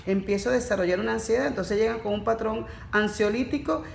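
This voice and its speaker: A woman talks through neurodiversity cases in a relaxed podcast chat, her voice gravelly with fry. She explains patiently and slips into quoted stories. The sound is roomy and echoey, with faint noise underneath. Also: on the high side, fast. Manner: explanatory, tells anecdotes with quoted speech. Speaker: neurodiversity course facilitator. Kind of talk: podcast conversation.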